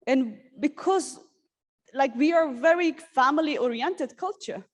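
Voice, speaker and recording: A woman from Syria giving an earnest, halting personal talk at a workshop, with many restarts and fillers. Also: sits high. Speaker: conference speaker. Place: conference hall.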